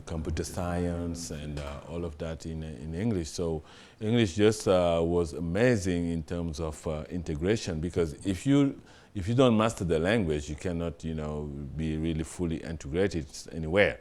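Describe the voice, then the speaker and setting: A middle-aged man with a Senegalese accent, a guest in an interview, answering in a relaxed, hesitant way with many fillers and small stories. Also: sits low, moderate pace, hardly any pauses. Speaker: interview guest, TV general manager.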